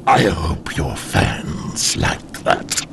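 An actor playing an ancient, bitter demon overlord in an audio drama, gravelly, halting and grandiose. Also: male voice, deep voice, sing-song, background noise, heavily acted. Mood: bitter, defiant. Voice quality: gravelly, breathy. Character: ancient, bitter, defiant male demon overlord, badly wounded. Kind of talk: audio drama villain acting.

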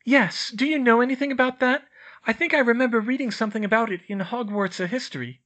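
A man doing a brisk clever schoolgirl. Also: very high voice, fairly bright tone, pitched above the performer's own voice. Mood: earnest. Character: clever schoolgirl witch, earnest.

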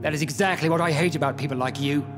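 Man acting accusing hospital physician, slightly high male pitch, formal, principled, game drama, music behind. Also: fast, natural voice. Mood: accusing, bitter. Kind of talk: video game dialogue. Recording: music under the dialogue.

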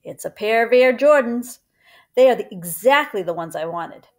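A woman's voice: fairly high pitch, expressive, moderate pace. A woman reads a children's book aloud in a conversational way, as a teacher would. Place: small room at home.

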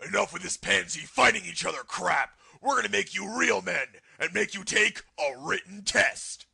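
Casting: menacing cartoon drill-sergeant proctor, very raspy, piercing, sarcastic bully. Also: male voice, high-pitched, expressive, moderate pace, bright, forceful tone, full character voice. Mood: angry, bullying. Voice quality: gravelly, raspy. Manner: barking, theatrical, sarcastic. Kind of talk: comic anime parody dub acting.

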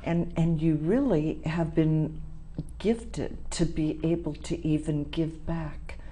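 A woman's voice: deep voice, fairly even intonation, slow pace, mellow, slight background noise. A woman hosting a TV talk show, interviewing a guest in a studio in an effusive manner. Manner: effusive, laughs often. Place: TV studio set.

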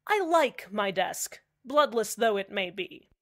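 A woman's voice: medium pitch, very animated, slow pace. A woman playing a polite, uneasy male community radio host in a radio drama, hesitant and placating.

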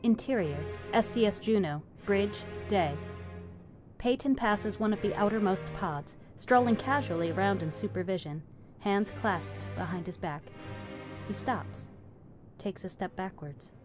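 A woman's voice: mid-range voice, lively intonation, a little slow. A woman doing scripted narration, reading stage directions as a narrator. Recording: music under the narration.

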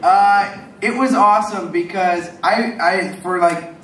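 A man moderating a convention panel, quick and joking. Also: high voice. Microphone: handheld mic, far camera. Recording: echoey hall, distant camera, loud noise.

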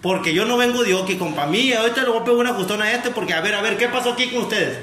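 Prank bit: a man playing a slangy Mexican thug boss who talks over people; high, bright. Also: racing, roomy sound, slight background noise. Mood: threatening. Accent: Mexican Spanish. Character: menacing gangster boss man, threatening.

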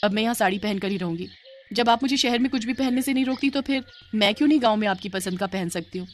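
A woman's voice: medium pitch, fast, slight background noise, lightly acted. Casting: modern young wife for cartoon family drama, sweet and self-assured played by a woman. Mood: apologetic, sweet. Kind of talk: animated moral story dialogue.

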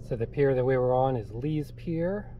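A man's voice: mid-range voice, moderate pace, highs cut off, noisy background. A middle-aged man casually narrating a hike outdoors, chatty and easygoing, reading signs aloud as he walks. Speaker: hiking vlogger. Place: outdoors on a farm by a bay.

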